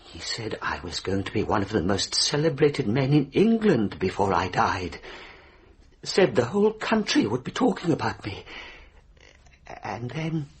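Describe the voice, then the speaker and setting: Radio drama: frail, troubled man, very breathy and husky, slow, stammering delivery. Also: mid-range voice, sing-song, full character voice. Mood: wistful, uneasy. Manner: halting, stammering, rambling. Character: frail, confused, anxious man confessing to murders.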